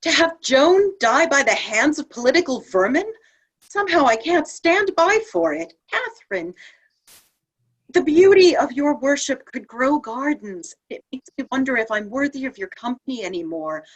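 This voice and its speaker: Woman as indignant saint, high voice, animated pitch, challenging, emphatic, stage play table read. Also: normal speed, poor audio. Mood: troubled. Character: outspoken, indignant female saint doubting heaven's plan.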